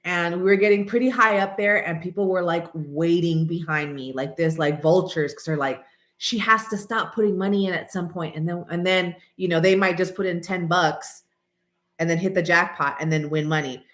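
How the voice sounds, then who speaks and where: A woman in her late thirties, stuffy and nasal from allergies, chatting casually and rambling to her viewers while often clearing her throat. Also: on the low side, steady tone, normal speed, fairly bright tone, roomy sound. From American. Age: 30s. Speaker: channel host. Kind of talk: casual vlog chat.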